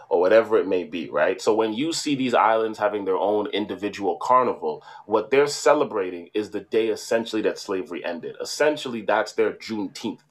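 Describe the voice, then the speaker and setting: Man, low-pitched voice, casual podcast chat, long explanations, frequent "right?". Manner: explains at length, with many "right?" asides. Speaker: podcast co-host.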